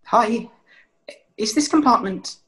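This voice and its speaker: A man's voice: very high voice, slow, fairly bright tone, full character voice. A young actor playing an anxious eleven-year-old boy with a hesitant, worried way of talking, in a stage play read on video call. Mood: awkward. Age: young adult. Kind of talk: stage drama table read. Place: small room at home, video call.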